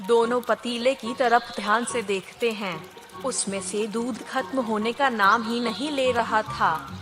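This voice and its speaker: A woman's voice: on the high side, fairly even intonation, measured pace, music in the background. A woman narrating a scripted children's moral story as the storyteller.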